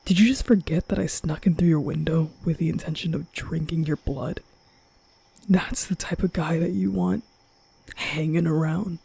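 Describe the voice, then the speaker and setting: A man playing a nervous, kind-hearted young vampire in an ASMR roleplay, speaking softly and often whispering, with hesitant, flustered stammering. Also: a little high, fairly even intonation, normal speed, heavily acted. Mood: flustered, incredulous. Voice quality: soft, breathy, often whispering.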